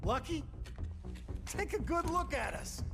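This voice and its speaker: Very high, agitated fighter. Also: male voice, sing-song, takes it slowly, gravelly, exaggerated voice. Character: agitated, sceptical, foul-mouthed fighter. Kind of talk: action game cutscene acting. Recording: music and vehicles behind.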